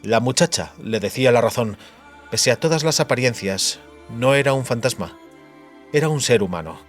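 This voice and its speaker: A man's voice: medium pitch, expressive, normal speed, faint music behind. A man reading scripted fantasy narration as an audiobook narrator.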